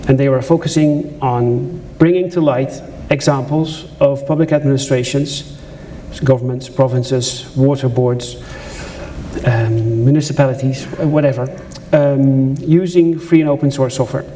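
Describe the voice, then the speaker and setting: A man's voice: mid-range voice, steady tone, unhurried, dark tone, slight background noise, rough recording. A man with a Dutch accent giving a conversational conference presentation, with asides to the audience and frequent restarts. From Netherlands. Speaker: conference speaker. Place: lecture room at a conference.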